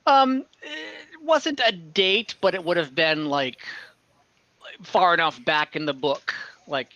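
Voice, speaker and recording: A man runs an online tabletop game, improvising scene descriptions with pauses. His voice sits a bit high and comes across crisp and forceful, though the call sounds slightly muffled. He takes his time. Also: dry recording. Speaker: game master.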